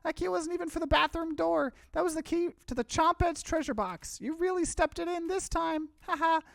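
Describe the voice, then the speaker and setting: Visual novel creature: little, malicious, gloating, very high squeaky pitch, taunting delivery. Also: male voice, moderate pace, gravelly, dry recording, studio quality. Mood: spiteful gloating. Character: small spiteful mocking spirit creature, shrill and gloating.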